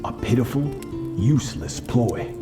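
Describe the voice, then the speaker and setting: An actor playing a cold, merciless master swordsman in a video game, speaking in a measured, stern and imperious way. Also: mid-range voice, sing-song, music in the background. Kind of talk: scripted video game voice acting.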